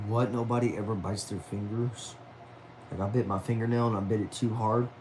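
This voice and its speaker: A man's voice: fairly low pitch, fairly even intonation, brisk, a little mellow, a little hiss or hum, poor audio. A man of about twenty chatting casually on a livestream at home, reading viewer messages aloud in a laid-back, talkative way, dropping "y'all" and "man". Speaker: livestream host.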